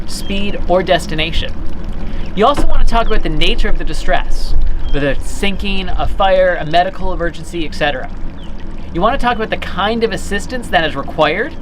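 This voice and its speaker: A man's voice: on the high side, noisy background. A man in his thirties explaining procedures methodically, step by step, as an instructor recorded outdoors by the water. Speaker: boat club operations director giving instruction. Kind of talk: instructional demonstration. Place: outdoors by the water, at a dock or on a boat.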